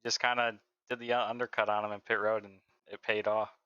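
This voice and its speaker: A man, a sim racing driver, talking casually and haltingly about his race over voice chat. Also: on the low side, smooth, no room sound. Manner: relaxed, halting, lots of "like" and "uh". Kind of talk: casual post-race interview. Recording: dry, dull voice-chat audio.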